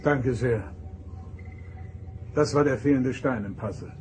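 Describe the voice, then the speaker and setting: A man plays an authoritative starship captain in a science fiction radio play. He sounds stern and measured, with a medium-pitched commanding voice over clear background music. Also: a little mellow, natural voice.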